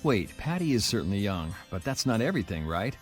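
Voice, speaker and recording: A man's voice: fairly low pitch, sing-song, brisk. A man voicing a cool, protective young swordsman in a video game, casual and matter-of-fact. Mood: easygoing. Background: background music.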